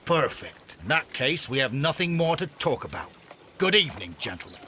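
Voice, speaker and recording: An actor playing a proud, defiant Victorian sea captain in a video game, formal and blustering in manner. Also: male voice, mid-range voice, big pitch swings, normal speed, very noisy, lightly acted. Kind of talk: video game cutscene acting.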